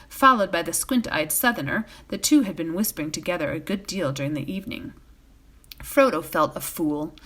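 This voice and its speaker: A woman reading a bedtime fantasy novel aloud as a volunteer, in an expressive storytelling style. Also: on the low side.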